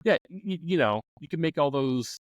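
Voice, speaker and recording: A man's voice: a little high, animated, a little fast, dry recording, highs cut off. A man talking casually in a loose, informal way, thinking aloud as he offers advice in a discussion. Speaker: discussion panelist on a game master advice show. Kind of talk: casual chat.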